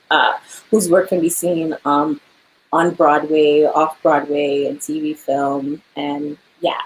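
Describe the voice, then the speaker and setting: A woman's voice: low-pitched, slight background noise, low-quality recording. A young woman, an actor, chatting casually in an interview, laughing often and filling her speech with "like" and "um".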